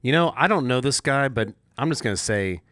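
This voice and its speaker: A man's voice: mid-range voice, lively intonation, fairly quick, fairly bright tone. A man co-hosting a podcast, making wry, teasing quips. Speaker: podcast co-host. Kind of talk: casual podcast chat.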